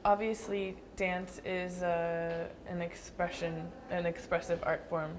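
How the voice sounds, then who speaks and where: A young woman dancer answering interview questions thoughtfully, with frequent pauses and restarts. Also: on the low side, little pitch movement, noisy background. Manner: thoughtful, hesitant with fillers and restarts.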